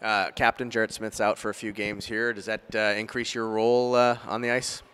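A man's voice: lowish voice, level tone, a little fast, fairly bright tone, smooth. A middle-aged man putting postgame questions as a sports reporter into a handheld microphone. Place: indoors before a sponsor backdrop at an arena.

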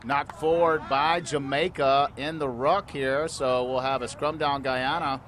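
A man's voice: medium pitch, steady tone, measured pace, fairly bright tone. A man commentating a youth rugby match at an outdoor field, explaining the rules and lifting his voice on big plays. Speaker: play-by-play commentator. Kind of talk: live sports commentary.

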